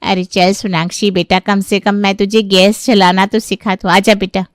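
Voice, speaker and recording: A woman's voice: mid-range voice, level tone, moderate pace, no echo, studio quality, close to an everyday voice. A woman playing a fretful, chatty middle-aged auntie in a cartoon story. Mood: concerned.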